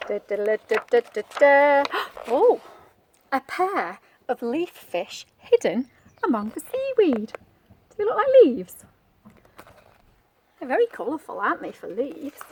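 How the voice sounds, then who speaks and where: A woman reading a picture book to young children in an expressive way, coaxing them with questions and exclamations. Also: high voice, slight background noise. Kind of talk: animated storybook read-aloud with asides to children.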